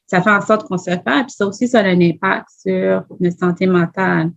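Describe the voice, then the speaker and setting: A woman's voice: medium pitch, normal speed, vocal fry. A woman, a podcast guest speaking earnestly in a panel discussion. She builds her points one after another and presses with questions. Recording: dull lo-fi audio.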